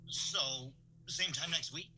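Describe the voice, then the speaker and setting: A man's voice: a little high, slow pace, sharp tone, a little hiss or hum, full character voice, poor audio. A man playing a polite, articulate, tactful young man in an audio drama. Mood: awkward, reassuring. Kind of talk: audio drama table read.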